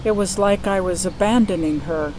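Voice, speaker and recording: A woman's voice: on the low side, moderate pace, darkish tone, slight background noise, close to an everyday voice, low-quality recording. A woman voicing a concerned mother talking about her daughter in an earnest manner. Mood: worried. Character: worried mother of a young mage.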